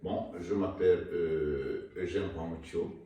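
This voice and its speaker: A man from Rwanda, an author being interviewed, talking haltingly with many restarts and fillers. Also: low-pitched, fairly even intonation, warm tone. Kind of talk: hesitant interview talk. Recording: echoey room, mic far off, faint noise.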